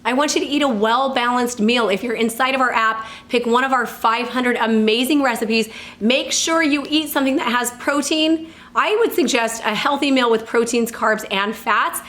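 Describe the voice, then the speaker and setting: A woman in her thirties, a fitness coach giving casual tips to the camera, upbeat and chatty, with a slightly hoarse voice from allergies. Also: fairly high pitch, moderate pace, few pauses, a bit bright. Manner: upbeat and chatty, with laughs and self-corrections.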